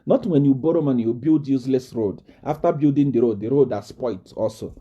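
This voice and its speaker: A man from Zambia preaching a fiery live-stream address, repeating himself and rising to shouts and laughter. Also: medium pitch, a little fast, dull audio.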